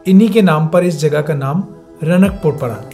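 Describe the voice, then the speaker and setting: A man's voice: fairly high pitch, moderate pace, mellow, faint music behind. A man reading a scripted, informational travel voice-over as narrator.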